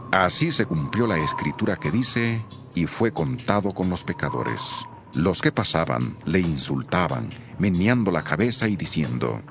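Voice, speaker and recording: A man narrating scripture from a script in a solemn, expressive manner. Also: sits low.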